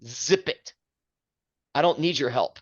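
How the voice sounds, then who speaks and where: A man's voice: medium pitch, slow pace. Older male co-host, big carrying voice, emphatic delivery, slightly dull lo-fi sound. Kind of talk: casual chat.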